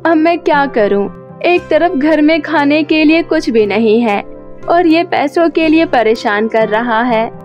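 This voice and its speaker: Woman playing a worried mother, high voice. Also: lively intonation, a little slow, a little mellow, muffled recording. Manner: anxious, pleading. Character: worried, pleading widowed mother. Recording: music under the voice.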